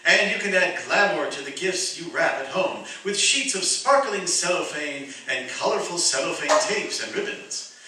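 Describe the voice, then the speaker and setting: A man's voice: fairly high pitch, animated, moderate pace, sharp tone, a little hiss or hum. A man reading a scripted advertisement in the style of a 1940s radio spokesman. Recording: very echoey hall, distant mic.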